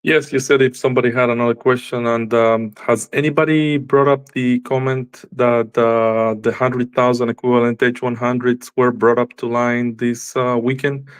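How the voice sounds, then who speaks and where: A man's voice: medium pitch, flat delivery, normal speed, few pauses, clear, resonant tone. A man calling into a live chat and steadily asking a question. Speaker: caller.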